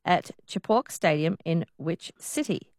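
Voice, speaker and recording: A woman's voice: lowish voice, animated, unhurried, a little mellow, no room sound, studio quality. A woman hosting a call-in radio quiz, chatting with callers and laughing often.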